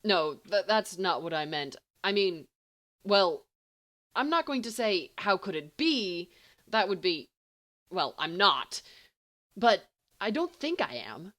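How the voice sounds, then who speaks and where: A woman voicing an earnest, flustered, irritable teenage boy in audiobook dialogue, with halting delivery. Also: fairly low pitch, very animated, a bit bright, exaggerated voice. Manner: halting, stammering.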